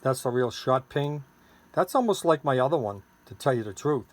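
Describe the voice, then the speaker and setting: A man's voice: medium pitch, lively intonation, moderate pace. A man giving an informal, rambling, hands-on product demonstration as the seller of the gadget. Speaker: seller demonstrating his homemade radio gadget. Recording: noisy recording with clicks.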